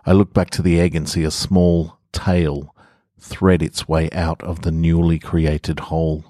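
A man's voice: very deep voice, little pitch movement, unhurried, mellow, no room sound. An Australian man hosting a podcast and reading stories, speaking close to the microphone. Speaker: podcast host and story reader. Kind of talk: casual podcast introduction, then first-person horror story narration. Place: garage.

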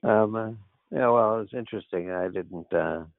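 Deep gravelly man, hesitant, on a phone line. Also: no echo. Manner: hesitant, halting, many fillers. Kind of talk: casual conversation. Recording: tinny phone-like line, dry.

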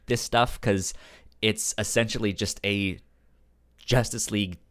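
A man co-hosting a casual comics discussion, stuttering on sounds and syllables in a halting, informal way. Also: fairly low pitch, fairly even intonation. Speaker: podcast co-host reviewing comics.

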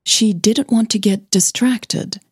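A woman reading scripted fiction as the narrator of an audio drama, in a measured, gentle manner. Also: medium pitch, breathy edge, no echo, clean studio sound.

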